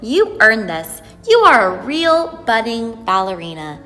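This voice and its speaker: A woman's voice: fairly high pitch, very animated, unhurried, a bit bright. A woman reading a storybook as an exuberant, proud little girl, speaking in a theatrical, emphatic way. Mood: proud, encouraging. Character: exuberant, proud little girl who loves fancy words. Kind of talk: storybook read-aloud. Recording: echoey hall, music behind.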